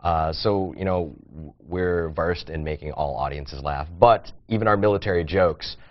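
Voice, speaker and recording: A man's voice: low-pitched, moderate pace. A man in his thirties, a comedian guest on a TV morning show, telling jokey stories about his life. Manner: storytelling, jokey. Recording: dull TV broadcast sound.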